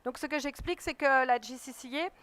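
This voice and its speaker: A woman moderating a conference panel, speaking haltingly with many fillers and restarts. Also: high-pitched, a bit bright. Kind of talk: conference presentation.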